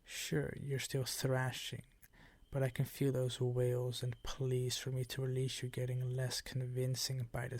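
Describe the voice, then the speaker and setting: Older vampire count played by a male actor for ASMR roleplay: suave, possessive, unhurried, condescending, with breathy menace and a close, dry sound. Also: mid-range voice, dry recording. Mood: coaxing, possessive. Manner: slow, ornate, condescending seductive purr.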